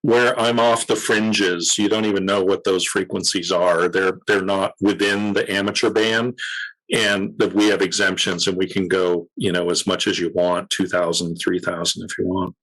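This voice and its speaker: A man's voice: low voice, little pitch movement, normal speed, fairly bright tone, rough recording. A man as an interview guest, chatting casually and explaining technical matters in an informal way. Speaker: interview guest, military auxiliary radio commander.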